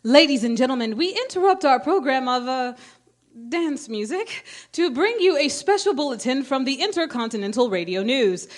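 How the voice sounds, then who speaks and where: A woman's voice: high voice, expressive, normal speed. A woman playing a formal 1930s news announcer reading scripted bulletins in a radio play. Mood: formal, urgent.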